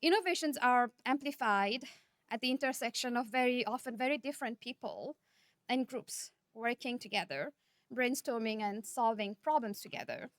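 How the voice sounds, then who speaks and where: A woman from Nepal, giving a conference keynote speech in a measured, earnest manner, often posing rhetorical questions. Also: a little high, animated, dry recording. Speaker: keynote speaker.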